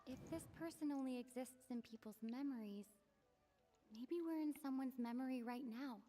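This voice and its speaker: Game heroine: young, calm, analytical traveler, slightly high voice, measured delivery, reverberant sound. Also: female voice, soft background music. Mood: pensive. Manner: measured, pensive, reasoning aloud. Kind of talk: video game character dialogue.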